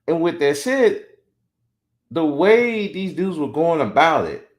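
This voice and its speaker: A man's voice: fairly high pitch, slow. A man in his forties hosting a podcast, giving blunt, opinionated commentary and mocking others now and then. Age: 40s. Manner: blunt, animated, mocking when quoting others. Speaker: podcast host.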